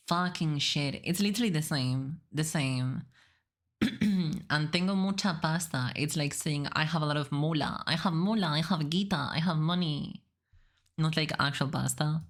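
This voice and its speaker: A woman from Spain with a Spanish accent, speaking casually and playfully as a streamer reacting to a video, giggly and free with swearing. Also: deep voice. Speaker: VTuber streamer.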